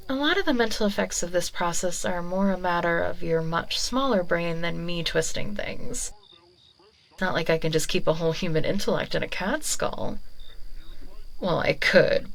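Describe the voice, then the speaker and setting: Woman doing a sweet creepy witch, whispery and coaxing, lots of vocal fry. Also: mid-range voice, low-quality recording. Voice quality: frequent whispers. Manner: soft, coaxing and sweetly condescending. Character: doting, manipulative witch taming a new familiar. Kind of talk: whispered audio roleplay.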